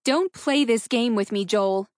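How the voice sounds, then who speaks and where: A woman's voice: on the high side, measured pace, no echo, studio quality, natural voice. A woman voicing an anguished, angry wife in an audiobook, accusing and emotional as she confronts her husband. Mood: seething. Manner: accusing, emotional, pleading for truth. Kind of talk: audiobook character dialogue.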